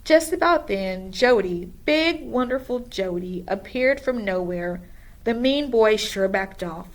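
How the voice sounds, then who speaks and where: A woman's voice: mid-range voice, expressive, measured pace. A woman reading a children's storybook aloud as narrator. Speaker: school administrator reading aloud.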